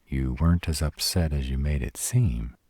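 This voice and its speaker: Roleplay audio: male performer as a fierce, devoted dragon-shifter mate, extremely low and husky, crude yet tender, recorded dry. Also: warm tone, no echo. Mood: affectionate, protective. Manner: slow, crude-tongued, tender with partner. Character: protective, devoted male were-dragon lover, brash and fierce. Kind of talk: roleplay audio drama acting.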